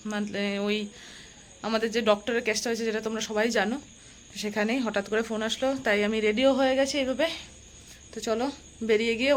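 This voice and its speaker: Young female vlogger, West Bengali accent. Fairly high voice, casual and chatty to camera, noticeable traffic and rain noise. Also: fairly bright tone. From West Bengal, India. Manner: chatty, addresses viewers as friends. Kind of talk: casual daily vlog narration. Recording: noisy outdoor traffic and rain ambience.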